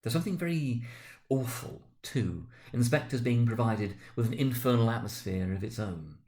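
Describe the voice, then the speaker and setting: Theatrical male storyteller, medium pitch. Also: normal speed. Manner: theatrical, expressive. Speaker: narrator. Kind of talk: dramatic literary narration.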